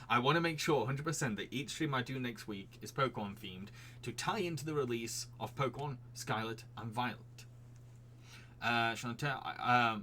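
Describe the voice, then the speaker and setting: A man's voice: medium pitch, fairly bright tone, slight background noise. A British man with an accent that mixes Yorkshire and a posh Received Pronunciation sound, hosting a live stream quiz in a chatty, giggly way and answering his chat. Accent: Yorkshire mixed with posh Received Pronunciation.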